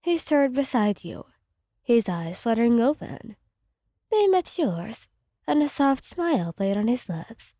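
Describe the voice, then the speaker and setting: A woman reading scripted fan fiction as soft ASMR narration, with a gentle, soothing delivery. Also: fairly high pitch, very animated, unhurried, no echo, studio quality.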